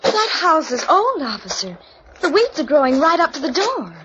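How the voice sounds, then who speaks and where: A woman's voice: high-pitched, very animated, moderate pace. An actress playing an anxious, sensible young woman caught in a mystery, speaking earnestly in an old radio drama. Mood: startled. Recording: muffled, faintly hissy old radio recording.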